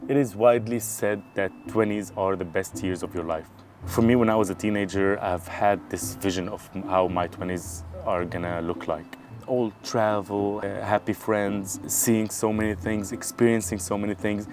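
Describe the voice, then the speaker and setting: Man of 30, low-pitched, thoughtful vlog monologue outdoors over clear guitar music. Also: steady tone, normal speed, a little mellow. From Syria. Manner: earnest, thoughtful, self-searching. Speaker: vlogger. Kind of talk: reflective personal monologue. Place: outdoors in a small town. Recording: guitar music playing underneath.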